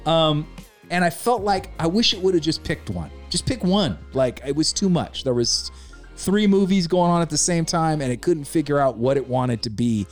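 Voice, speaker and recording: A man's voice: a little high, normal speed, soft background music. A man co-hosting a casual podcast, giving a rambling, opinionated movie review. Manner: opinionated, rambling, animated retelling. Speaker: podcast co-host.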